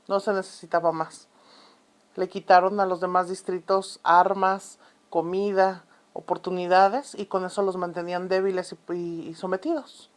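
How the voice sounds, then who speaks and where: A woman playing an authoritative, unsentimental historian interviewed in a radio drama, explaining events in a measured, deliberate way. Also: mid-range voice, natural voice.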